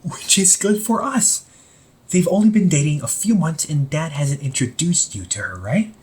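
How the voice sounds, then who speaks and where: Man acting a very husky young supervillain. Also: on the high side, expressive. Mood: pleading, worried. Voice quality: husky, breathy. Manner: halting, earnest, conversational. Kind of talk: amateur audio roleplay, intimate one-sided dialogue to listener.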